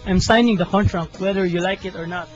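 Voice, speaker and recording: A lovestruck, self-sacrificing young man, acted by a young man in an amateur radio play. His voice is high and earnest, given to melodrama. The sound is dull, with faint music underneath. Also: steady tone, fairly quick, lightly acted. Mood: earnest, defiant. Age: young adult. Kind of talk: student radio drama acting. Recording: muffled amateur recording, music behind.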